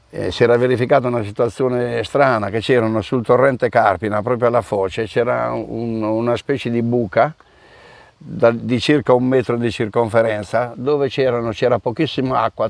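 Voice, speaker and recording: A man's voice: medium pitch, gravelly, no room sound. Hesitant middle-aged man outdoors. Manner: hesitant, restarts often, storytelling. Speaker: event organizer. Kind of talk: outdoor interview. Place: outdoor park by a car park.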